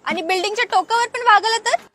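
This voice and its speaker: A woman's voice: extremely high pitch, fast talker, very noisy. A woman voicing a no-nonsense, bossy policewoman passenger who questions assertively in a comedy cartoon. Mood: curious, teasing. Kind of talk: comic cartoon dialogue. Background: loud music.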